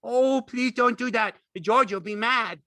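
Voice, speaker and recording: A man voicing a big, childlike, slow-witted man who speaks simply and earnestly in ungrammatical rural English, in an audiobook. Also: mid-range voice, big pitch swings, sharp tone, no room sound, pitched above the performer's own voice, exaggerated voice. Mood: panicked, pleading. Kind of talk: audiobook character acting.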